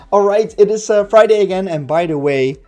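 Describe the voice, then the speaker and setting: A man hosts a game livestream with a raspy, stuffy-nosed voice. His tone is somewhat high but mellow, and he rambles along with plenty of fillers. Faint music plays underneath. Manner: rambling, many fillers, light laughs. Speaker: livestream host. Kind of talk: casual livestream chat.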